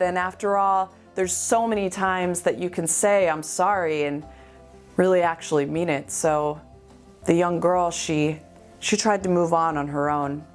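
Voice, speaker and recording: A woman performing spoken-word slam poetry with deliberate, dramatic phrasing and pauses. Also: lowish voice. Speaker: amateur slam poet. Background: music.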